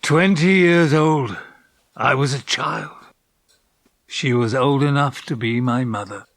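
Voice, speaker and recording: A man's voice: medium pitch, slow, no echo, studio quality. An actor playing a forgetful, grumbling man of eighty who muses theatrically and mocks himself in an audio drama monologue. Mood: wistful. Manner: theatrical, musing, self-mocking.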